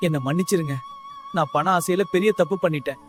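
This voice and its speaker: Young greedy farmer male actor, meek, raised pitch, animated moral-tale dialogue with prominent music. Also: fast, close to an everyday voice. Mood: pleading, remorseful.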